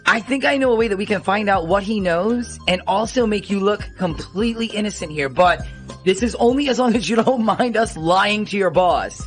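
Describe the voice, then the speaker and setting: A man's voice: high voice, moderate pace, faint music behind. A man hosting a radio show, chatting casually with a caller in a phone-in segment.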